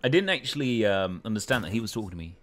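A man's voice: lowish voice, big pitch swings, racing, a bit bright. A man hosting a let's play with casual, hesitant commentary, thinking aloud as he goes.

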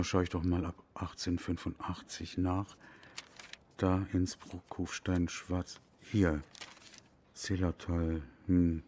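An actor playing a wry, bookish Viennese historian in a German audio drama, with a husky voice. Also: male voice, sits low, darkish tone. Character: bookish, self-mocking male Viennese historian, wry and absorbed.

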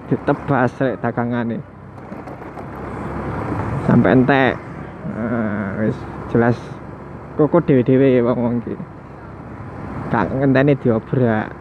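A man's voice: medium pitch, slow pace, long pauses. A man riding a motorbike at night, chatting casually to his viewers through a headset mic and musing aloud with the odd chuckle. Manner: chatty, muses aloud, chuckles now and then. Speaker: motovlogger. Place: on a motorbike on night city streets. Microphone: headset mic worn while riding. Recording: tinny helmet mic with loud road noise.

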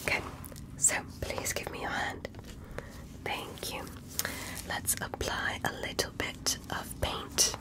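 Woman acting as female alien examiner, ASMR medical roleplay, whispery, slow-paced, soothing, reassuring, clinical politeness. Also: close to an everyday voice. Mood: soothing, calm.

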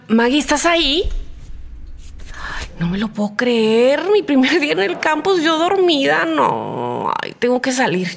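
A woman's voice: high-pitched, creaky, a little hiss or hum, exaggerated voice. An actress playing an easily startled, inquisitive young student in a radio soap opera, flustered and hesitant as she speaks. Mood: panicked. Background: faint music.

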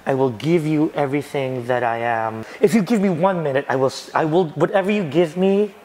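Expressive male poet in his forties, dramatic delivery, lapel mic with faint ambient noise. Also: on the high side, brisk. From United States. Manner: animated, dramatic, gesturing expressively. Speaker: spoken word poet. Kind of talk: documentary interview. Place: restaurant booth and outdoor graffiti alley.

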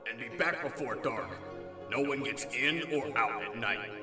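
A man voicing a giant, irritable demon hellhound gatekeeper with a gruff, pompous delivery. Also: medium pitch, normal speed, recorded from a distance, lightly acted. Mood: imperious. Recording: echoey reverberant voice over music.

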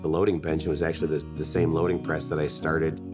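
A man's voice: rumbling low, flat delivery, quick pace. A man around forty with an American Midwestern accent, telling his story as a company founder in a documentary interview. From Southwest Michigan, USA. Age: late 30s to early 40s. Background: guitar music.

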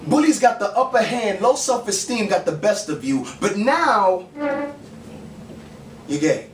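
A male spoken word poet performs theatrically, his high voice swinging widely in pitch. He puts weight on pointed questions and leaves deliberate pauses. The recording comes from a distant camera in a small bar room, with some background noise. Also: big pitch swings, roomy sound. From the Bronx, New York, USA. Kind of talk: dramatic spoken word poetry performance on stage. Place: small dim bar stage, indoors. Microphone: far camera mic, stage mic unused beside him.

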